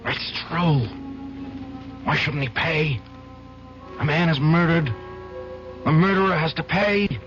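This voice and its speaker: An actor playing a weary, guilt-ridden male attorney who talks in a hesitant, halting way in a 1970s radio drama. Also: on the high side, a bit bright, soft background music. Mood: troubled. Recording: dull old radio recording.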